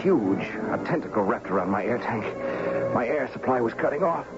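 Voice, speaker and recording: Music sits behind a muffled old radio recording of an actor as a young, adventurous man. He is earnest and expressive, with a lowish voice that swings widely in classic seventies radio drama style. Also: a little fast, close to an everyday voice. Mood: tense, dramatic. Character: earnest, adventurous young Texas oil heir. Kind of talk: 1970s radio drama acting.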